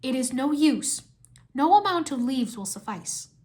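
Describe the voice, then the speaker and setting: Woman as a solemn, measured female sage. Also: high-pitched, big pitch swings, darkish tone. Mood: grave. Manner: formal, measured.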